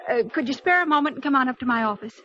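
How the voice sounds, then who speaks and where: An actress playing a harried, sardonic department-store executive, urgent and wry, in a 1940s radio drama. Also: female voice, sits high, expressive, rapid-fire, fairly bright tone. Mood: worried, pleading. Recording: dull, noisy 1940s radio recording.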